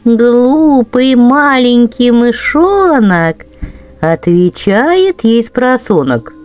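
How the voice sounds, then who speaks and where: Woman reading a kids rhyming tale, slow n very lively, soft music behind. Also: fairly high pitch. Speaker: storyteller. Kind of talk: expressive children's verse narration.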